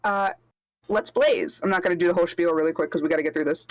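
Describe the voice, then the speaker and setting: A woman's voice: on the low side, fast talker, dry recording. Chatty woman streamer, dry sound. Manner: chatty, relaxed, reads through a streamer intro. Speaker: streamer, co-host. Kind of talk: casual game stream chat.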